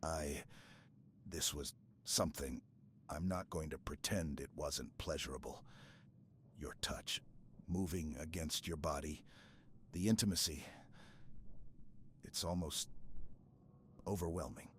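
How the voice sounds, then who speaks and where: A man playing a stoic, earnest super-soldier in an intimate sci-fi ASMR roleplay, speaking in a halting, hushed way with dramatic pauses. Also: rumbling low, a little hiss or hum, exaggerated voice. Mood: dazed, tender.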